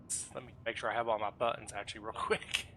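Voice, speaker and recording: Bright-voiced man leading gamers. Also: on the low side, little pitch movement, fast talker, slight background noise. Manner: informal, self-deprecating, organizing. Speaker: streamer and group leader. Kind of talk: casual gaming group voice chat.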